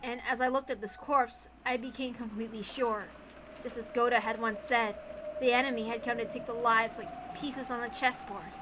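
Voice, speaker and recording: A woman reading a dramatic story aloud as narrator, expressive, theatrical and deliberate. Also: fairly high pitch, background noise. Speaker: reader of a visual novel. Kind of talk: dramatic scripted narration with acted dialogue. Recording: background music under the reading. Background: music.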